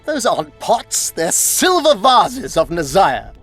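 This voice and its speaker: An actor playing a proud, cold spymaster in a fantasy game, speaking in an articulate, formal, insinuating way. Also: male voice, high-pitched, big pitch swings, slow, slightly rough, faint music behind, heavily acted. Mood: indignant, sarcastic. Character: shrewd, coldly proud royal spymaster, an adult man. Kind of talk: video game dialogue acting.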